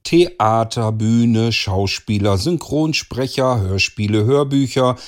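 A man interviewing, putting prepared questions in a clear, orderly wording. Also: medium pitch, slow pace.

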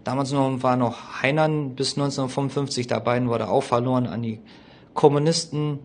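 Creaky-voiced man explaining history informally. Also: mid-range voice, steady tone. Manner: colloquial, opinionated, halting with frequent hesitations.

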